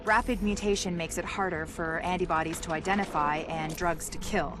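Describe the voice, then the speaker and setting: An actress playing a blunt, analytical virus scientist in a video game, explaining clinically with dry, deadpan asides. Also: female voice, lowish voice, fairly quick, music in the background, close to an everyday voice. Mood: clinical, matter-of-fact. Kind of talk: scripted video game briefing narration.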